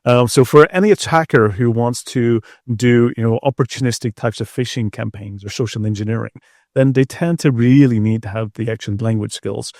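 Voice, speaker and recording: A man's voice: mid-range voice, brisk, darkish tone, no room sound, clean studio sound. A middle-aged man speaking as an expert guest, explaining things in a conversational interview. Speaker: expert guest, security researcher.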